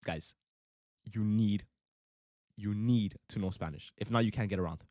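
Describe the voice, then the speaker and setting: A man's voice: on the low side, a little slow, dark tone, no echo. A young man with a Miami accent, born in Italy with Italian as his first language, rambling casually to his livestream chat and saying "bro" a lot. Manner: rambling, filler-heavy, says bro a lot. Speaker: day trading livestream host. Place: home trading setup in Miami.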